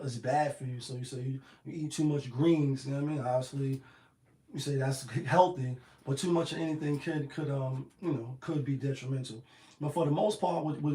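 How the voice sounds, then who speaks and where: A man with a slightly raspy voice giving a casual, rambling motivational talk full of slang and "you know what I mean.". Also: mid-range voice, dark tone, reverberant, poor audio. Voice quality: a little raspy. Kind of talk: casual motivational monologue to camera.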